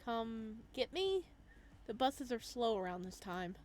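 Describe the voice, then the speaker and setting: A woman's voice: a little high, sing-song, slow, a little mellow, a little hiss or hum. A woman voicing an awkward, reluctant young man, the hero of a visual novel, in a hesitant, casual manner.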